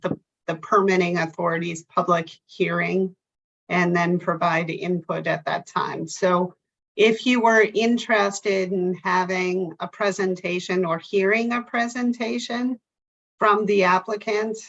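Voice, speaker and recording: Slow, measured woman explaining procedure. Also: lowish voice, fairly even intonation, a bit bright. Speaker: town staff member. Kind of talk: remote public meeting explanation. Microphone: video call. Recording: dull lo-fi video call audio.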